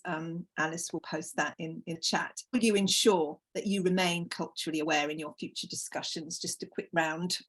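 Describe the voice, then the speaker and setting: A woman's voice: sits low, fairly even intonation, moderate pace, few pauses. A woman with a British accent leading an online group conversation over a video call, reading out chat comments and inviting people to speak. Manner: encouraging, reads out chat comments. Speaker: facilitator.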